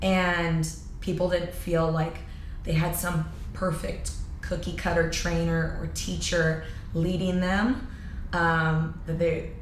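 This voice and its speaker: A woman in her thirties, a fitness coach, chatting casually in an interview with a hoarse, loud, projecting voice and upbeat energy. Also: lowish voice, takes it slowly, reverberant, a little hiss or hum, poor audio. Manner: energetic, upbeat, many fillers. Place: bright studio room with sofa.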